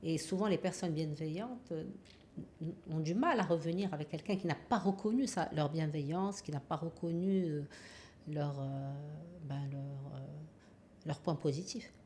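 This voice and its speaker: A woman hosts a podcast interview, speaking slowly and carefully with many pauses and fillers. Her voice is very low for a woman. A faint noise sits behind her. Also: darkish tone. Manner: measured, hesitant with frequent fillers. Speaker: podcast host. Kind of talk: interview hosting.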